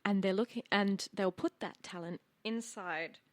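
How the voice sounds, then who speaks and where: A woman playing a cool, beleaguered rocker making a deal, speaking in a measured, sardonic way in a tabletop roleplaying game. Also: medium pitch, no echo, lightly acted. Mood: exasperated. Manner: dry, measured, exasperated. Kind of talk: improvised in-character tabletop roleplay.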